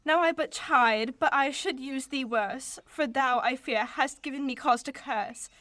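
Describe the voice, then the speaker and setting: A woman's voice: high-pitched, unhurried, a bit bright, dry recording. A teenage woman playing a fiery, distraught young lover, delivering verse dramatically in an amateur Shakespeare radio play. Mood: accusing, grieving. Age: late teens.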